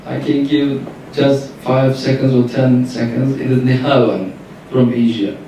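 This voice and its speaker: Slow, halting man with a Turkish accent. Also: medium pitch, level tone, creaky, mellow, slight background noise. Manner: halting, searching for English words. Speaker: Qur'an reciter. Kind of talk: answering audience questions. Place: lecture room at a workshop. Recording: echoey room, distant mic.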